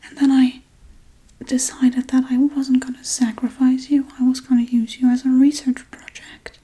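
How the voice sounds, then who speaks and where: A woman playing a scatterbrained, casually callous witch in a soft-spoken ASMR role-play, rambling in a breathy, mostly whispered voice. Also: sits high, little pitch movement, moderate pace. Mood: offhand, musing. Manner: offhand, rambling, blithely unbothered.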